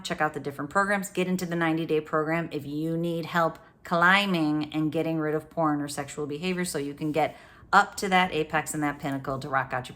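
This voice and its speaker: A woman with a notably low voice talks directly to her listener as a coach and host. She is upbeat and encouraging, and she keeps asking rhetorical questions to push them forward. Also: a bit bright. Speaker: brain coach and podcast host. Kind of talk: motivational solo talk to camera.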